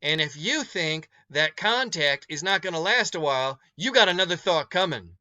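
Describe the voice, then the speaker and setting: A big, no-nonsense woman from a storybook, acted out by a man reading aloud, commanding and folksy as she scolds. The delivery is bright and forceful, slightly high for a male reader, in a dry, close sound. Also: lively intonation, normal speed, dry recording, highs cut off. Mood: firm, scolding. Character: large stern older woman scolding a young thief.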